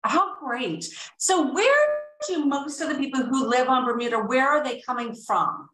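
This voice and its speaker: A woman's voice: high-pitched, animated, moderate pace. A woman hosting an online interview over a video call, enthusiastic with gushing interjections. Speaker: talk show host. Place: room with large windows at home. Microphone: video call webcam at a distance. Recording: echoey room, distant mic, faint noise.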